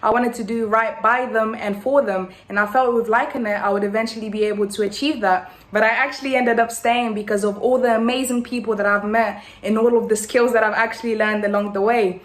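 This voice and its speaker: Echoey room, young woman, late teens, slightly high voice, video testimonial. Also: fairly even intonation, brisk, hardly any pauses. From born in Angola, raised in Portugal. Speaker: network marketer giving a testimonial.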